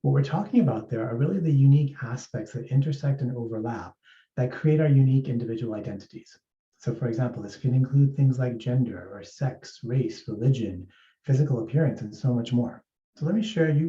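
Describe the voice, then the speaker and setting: A man from East Africa giving a measured, explanatory workplace presentation as an HR speaker. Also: mid-range voice, warm tone. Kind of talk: presentation talk. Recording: roomy, distant, dull sound.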